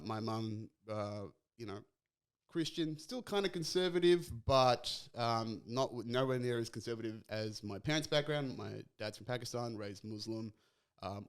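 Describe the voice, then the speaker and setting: Young Australian male podcast host, mid-pitched, relaxed and chatty. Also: smooth. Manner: relaxed, chatty, laughs easily. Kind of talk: casual podcast chat.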